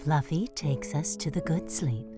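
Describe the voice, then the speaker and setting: A woman's voice: sits low, measured pace, music in the background. A woman voicing a tender, patient mother mouse in an audio drama, soothing and wistfully devout. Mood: dreamy, reassuring.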